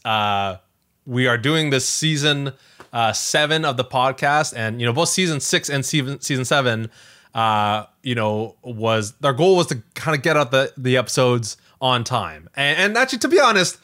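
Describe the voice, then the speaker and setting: A man with a distinctly bright, forward voice at a medium pitch co-hosts a casual podcast. He talks in a rambling, conversational way, often correcting himself and circling back over phrases. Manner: rambling, frequent self-corrections and repeated phrases. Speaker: podcast co-host.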